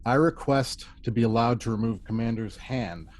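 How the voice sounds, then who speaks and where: A medium-pitched male voice, formal and deliberate. A man acts out a stern starship first officer arguing as prosecutor in a sci-fi courtroom drama, read from a script. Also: a little mellow, lightly acted. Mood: grave, forceful.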